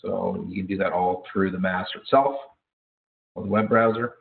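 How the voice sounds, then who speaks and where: A low-voiced man leads an informal product training session. He talks haltingly, often hesitating and correcting himself mid-phrase. The audio is thin and narrow, like a phone connection. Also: steady tone, creaky. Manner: halting, self-correcting delivery with frequent hesitations.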